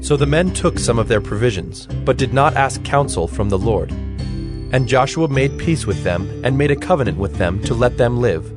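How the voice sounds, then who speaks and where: Measured male narrator over music. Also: medium pitch, animated. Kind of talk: scripted dramatized Bible narration.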